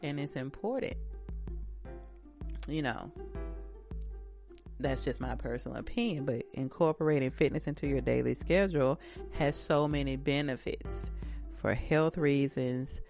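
A woman's voice: very deep voice, unhurried, gravelly, pauses often, a little mellow, faint music behind. Chatty, encouraging woman host, slightly stuffy. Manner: chatty, encouraging, addresses listeners directly. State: stuffy-nosed from allergies. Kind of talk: casual self-care advice talk.

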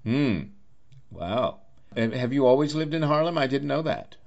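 A man's voice: medium pitch, animated, normal speed, gravelly, darkish tone, muffled recording. A man interviewing as a radio host in a chatty style, with effusive, wordy and rather formal phrasing.

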